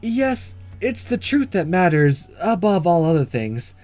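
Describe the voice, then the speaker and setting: A man voicing an earnest, guarded schoolgirl from a visual novel, speaking in a hesitant, deliberate way. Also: high-pitched, big pitch swings, darkish tone, faint music behind. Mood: earnest, defensive.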